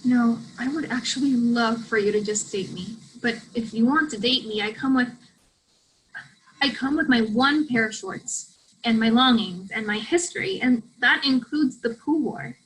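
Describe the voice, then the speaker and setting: Woman acting guarded young student, higher voice. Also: normal speed, a bit bright, close to an everyday voice, low-quality recording. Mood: guarded, conflicted. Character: guarded, self-doubting female international college student, soccer striker. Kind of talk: virtual staged play reading. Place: remote virtual reading over video call.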